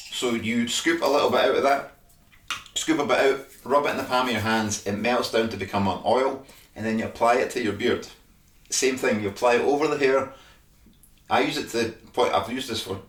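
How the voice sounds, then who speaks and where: Scottish man, chatty demo, echoey room, mic a bit far off. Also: mid-range voice. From Scotland. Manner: chatty, frequent "all right?" tags. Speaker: beard care brand host. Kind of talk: casual product demonstration.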